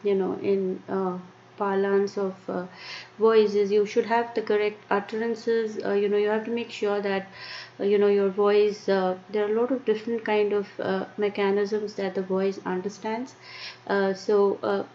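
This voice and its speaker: A woman with an Indian accent presenting a live-streamed tech lesson, talking haltingly with many fillers and restarts. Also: mid-range voice, flat delivery, dark tone. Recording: muffled lo-fi stream with faint noise.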